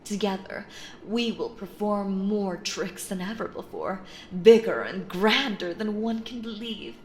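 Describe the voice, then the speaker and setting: A woman acting out a sinister, possessive stage magician in an intimate audio roleplay, cooing endearments theatrically and slipping into breathy, menacing whispers. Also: mid-range voice, measured pace, darkish tone, roomy sound, slight background noise, natural voice. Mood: secretive, grandiose.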